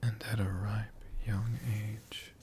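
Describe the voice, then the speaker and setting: A man telling a story in a hushed ASMR whisper, in short halting phrases with long pauses between them. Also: lowish voice, little pitch movement, noisy background. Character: friendly storytelling dragon.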